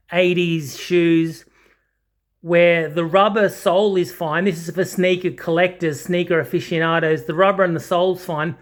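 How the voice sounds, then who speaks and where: A man giving a casual, unscripted video walkthrough in a hesitant, rambling way, often correcting himself. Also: high voice. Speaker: audio channel host. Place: indoors, small home study.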